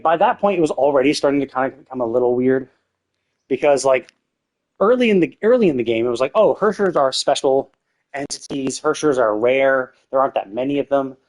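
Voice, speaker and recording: A man's voice: mid-range voice, darkish tone, low-quality recording. A man live streaming a game, talking casually in a rambling, opinionated way with some swearing.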